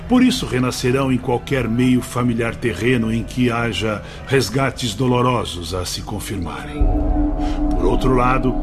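An actor playing a grave spiritual mentor, solemn and didactic, in a radio drama. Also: male voice, mid-range voice, close to an everyday voice. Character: grave male spiritual mentor instructing pupils.

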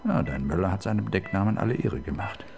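A playful partner who teases his wife in her hospital bed. His voice is very deep and gravelly, with lively swings in pitch, and faint music plays beneath it. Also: quick pace. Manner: teasing, playful. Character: teasing male partner of the detective. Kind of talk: radio crime drama acting. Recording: radio play with faint music.

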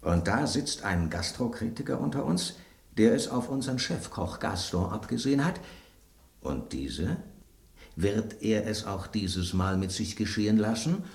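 An actor in a German radio play voicing a skeptical, scoffing piece of talking tableware. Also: male voice, on the low side, sing-song, unhurried, gravelly, darkish tone, roomy sound, heavily acted. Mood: dramatic.

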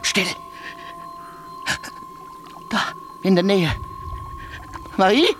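An actor playing a haunted, frantic murderer in a German radio drama, halting and repeating himself, then breaking into defiance. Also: male voice, sits high. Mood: haunted, guilty. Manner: halting muttering broken by outbursts, repeats words. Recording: music behind the voice.

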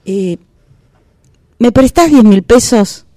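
A woman acting a hesitant, evasive woman in a radio sketch. She drags her words out very slowly, with lively swings of pitch, in a dry, close sound. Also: no echo. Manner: hesitant, drawn-out, noncommittal. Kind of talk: improvised radio theatre exercise.

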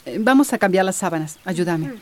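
An actress playing a patient, practical housewife with a placating manner in a radio soap opera. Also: female voice, on the low side, expressive, fairly quick, close to an everyday voice. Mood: weary, placating.

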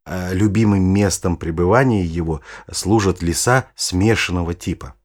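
An actor playing an older, knowledgeable naturalist grandfather explaining things patiently in an audio play, with a husky voice. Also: low voice, normal speed. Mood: patient, descriptive.

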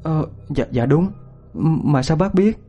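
Village mother acted by a male narrator: anxious, high, swooping, stammering and pleading. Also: lively intonation, measured pace, dark tone, dull audio, soft background music. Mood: tearful, worried. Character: farmer's wife, young mother, anxious and tearful.

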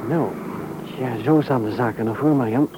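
An actor playing a gentle, hesitant, sensitive fiancé in an old Dutch radio play, speaking softly. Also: male voice, medium pitch, normal speed, lo-fi sound, noisy background, close to an everyday voice. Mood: thoughtful. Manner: hesitant, soft-spoken.

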